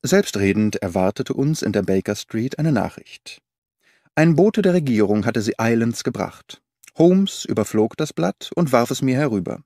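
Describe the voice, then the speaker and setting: A man narrating a detective audiobook in the first person, in a measured storytelling manner. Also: mid-range voice, big pitch swings, dark tone, no echo, studio quality. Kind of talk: scripted narration.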